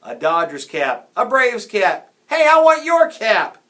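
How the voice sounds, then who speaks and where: A man acting out loud, jeering baseball heckler. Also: high voice, very animated, pitched above the performer's own voice, heavily acted. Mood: demanding, taunting. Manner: loud, drawn-out yelling. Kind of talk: children's storybook read-aloud.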